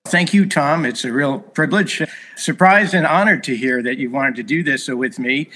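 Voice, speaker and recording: A man's voice: mid-range voice, moderate pace, sharp tone, poor audio. A man in his sixties whose first language was Hungarian, a guest telling long stories in a podcast interview with many fillers. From United States. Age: 60s. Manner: earnest, expansive storyteller with many fillers.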